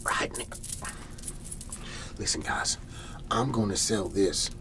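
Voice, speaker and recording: A man playing a folksy, excitable garage-sale salesman in an ASMR roleplay, whispery and breathy, rambling and repeating his hard sell. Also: fairly low pitch, slow, background noise. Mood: pleased, insistent. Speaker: host playing a character.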